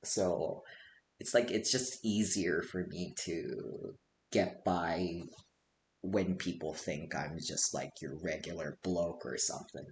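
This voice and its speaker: Deep-voiced man. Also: slow. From Japan. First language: Japanese. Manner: loose and conversational, frequent "like" fillers. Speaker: presenter. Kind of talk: casual spoken lecture. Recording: echoey room with faint hiss.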